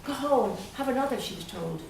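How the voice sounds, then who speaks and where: Middle-aged Irish woman, guest poet, measured and unhurried reading, loose and chatty between pieces; picked up far from the mic in a very echoey room. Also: a little high, lively intonation, gravelly, darkish tone, a little hiss or hum. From Dublin, Ireland. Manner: slow, measured reading with pauses; chatty and hesitant between poems. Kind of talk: poetry reading at a literary evening. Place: event room at a literary gathering.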